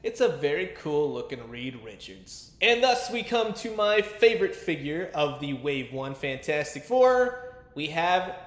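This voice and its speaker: A man's voice: on the high side, very animated, measured pace, fairly bright tone, reverberant. A man giving a casual, chatty toy review with a fan's enthusiasm and colloquial asides. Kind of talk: casual action figure review commentary.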